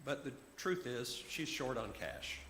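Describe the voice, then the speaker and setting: Male storyteller doing a serene old wise man, formal measured phrasing, roomy echo. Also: mid-range voice, animated, fairly bright tone. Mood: gentle, philosophical. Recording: roomy echo, background noise.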